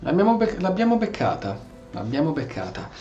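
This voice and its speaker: An Italian male streamer chats playfully over a game with lively swings in his voice. He trips over words and repeats them, in a dull recording with a very roomy echo. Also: fairly high pitch, fast talker, soft background music. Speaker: gameplay streamer. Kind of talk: casual gameplay commentary with role-play.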